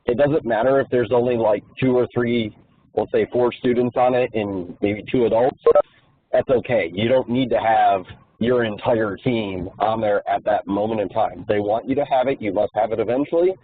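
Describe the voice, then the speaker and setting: A man with a somewhat low, husky voice explains things informally on a conference call. He is plain-spoken and blunt, and the sound is narrowed by a telephone line. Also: moderate pace, dry recording.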